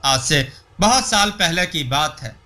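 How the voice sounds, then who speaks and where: A lovesick, ailing king of a folk tale, voiced by a male narrator, confides solemnly. The voice is high and very bright, and the words come slowly. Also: lively intonation. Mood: confiding.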